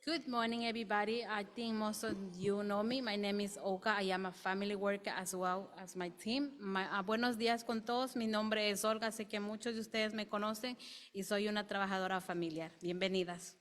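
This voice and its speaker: A woman's voice: medium pitch, fairly even intonation, moderate pace, in a large hall. A woman family worker introducing herself to colleagues, friendly and brief. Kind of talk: self-introduction.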